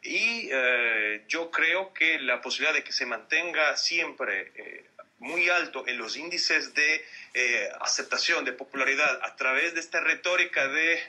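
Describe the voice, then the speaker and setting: A man's voice: fairly high pitch, animated, pushed and bright. A man from Italy giving political analysis as a radio interview guest over a Skype call, searching for words and repeating himself. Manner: halting, careful word-searching with repetitions.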